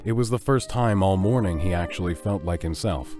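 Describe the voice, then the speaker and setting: A man's voice: low voice, fairly quick, music in the background. A man giving scripted narration for an audio drama.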